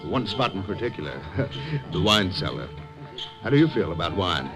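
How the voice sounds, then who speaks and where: An actor playing a jovial, sinister office rival who chuckles with false friendliness, in a 1950s radio drama. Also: male voice, deep voice, big pitch swings, normal speed. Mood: falsely jovial. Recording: old radio broadcast with music.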